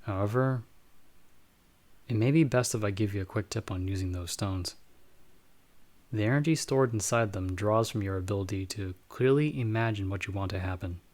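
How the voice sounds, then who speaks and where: A man playing a watchful bounty hunter in an audio roleplay, explaining things to his companion in a measured, slightly formal way. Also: fairly low pitch, a little mellow, lightly acted. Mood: calm, watchful. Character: calm, watchful male bounty hunter with magical wards. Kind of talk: ASMR audio roleplay acting, speaking to the listener as companion.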